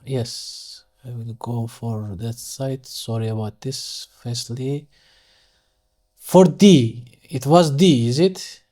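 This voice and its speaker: A man with a Turkish accent, a native Turkish speaker, giving an unscripted step-by-step software tutorial, thinking aloud haltingly and correcting himself as he goes. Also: mid-range voice. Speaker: engineering software tutorial instructor. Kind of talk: unscripted screen-share tutorial, step-by-step explanation.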